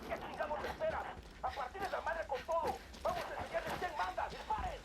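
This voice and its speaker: A man's voice: very high voice, fast, vocal fry. A man voicing an aggressive, foul-mouthed Mexican cartel gunman in a video game, coarse and urgent. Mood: furious, commanding. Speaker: enemy cartel gunmen. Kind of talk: video game combat barks. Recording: distant game voice under loud vehicle noise.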